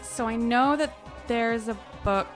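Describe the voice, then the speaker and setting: Animated woman, casual podcast co-host. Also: on the high side, a little slow, over music. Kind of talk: casual banter between co-hosts.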